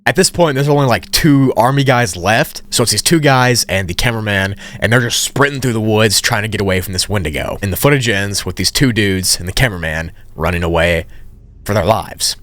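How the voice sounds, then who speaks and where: Low-voiced man casually retelling a story. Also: expressive, brisk, a bit bright. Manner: conversational, informal asides. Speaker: video narrator, storyteller. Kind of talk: casual story recap commentary.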